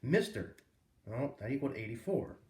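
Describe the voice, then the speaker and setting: A man reading a children's book aloud as a storyteller, with expressive, emphatic phrasing. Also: mid-range voice, moderate pace. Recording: roomy echo, distant microphone.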